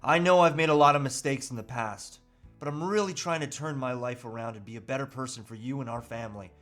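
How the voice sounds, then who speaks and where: A man's voice: mid-range voice, fast talker, fairly bright tone, faint music behind, close to an everyday voice. A man playing a troubled, self-pitying husband and father who earnestly resists temptation, with hesitant, pleading delivery in a script read. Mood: sincere, grateful. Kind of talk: live table read of a movie script.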